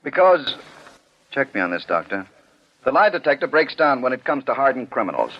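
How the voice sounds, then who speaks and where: A stern official in an old radio play, medium in pitch and widely inflected. He argues with skeptical authority, in muffled 1940s broadcast sound. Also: sing-song, fairly quick. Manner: authoritative, argumentative. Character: stern, skeptical, unbending male police commissioner. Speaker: commissioner.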